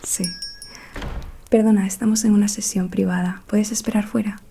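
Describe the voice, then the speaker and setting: A woman from playing a gentle, mysterious fortune-telling witch in an ASMR roleplay, speaking in a soft, soothing whisper. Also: mid-range voice, flat delivery, creaky, slight background noise, lightly acted. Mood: intrigued, apologetic. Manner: slow, soothing, confiding.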